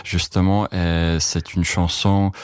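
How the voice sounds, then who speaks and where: Low-voiced Czech man, halting and searching. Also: flat delivery, clean tone, dry recording. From Czech Republic, Prague. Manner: halting, searching for words, repeats himself. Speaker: journalist, foreign correspondent being interviewed. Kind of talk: casual interview answers, recounting memories.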